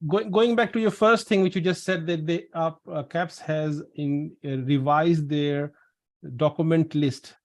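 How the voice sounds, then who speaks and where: A man's voice: a little high, steady tone, unhurried. A man hosting a pharmacy webinar over a video call, chatty and repeating his words as he tells anecdotes. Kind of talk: casual webinar Q&A.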